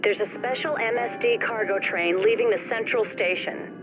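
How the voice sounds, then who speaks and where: A woman's voice: on the high side, normal speed, natural voice. An actress playing an exasperated, efficient woman informant on a call in a video game cutscene. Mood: businesslike. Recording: dull band-limited call over music.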